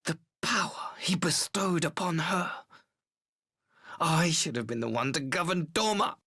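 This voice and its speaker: A man's voice: a little high, a little slow, pushed and bright, breathy edge, no room sound, studio quality, full character voice. An actor playing a jealous, spiteful young male villain in a video game cutscene, theatrical and venomous, with formal archaic diction. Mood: bitter resentment.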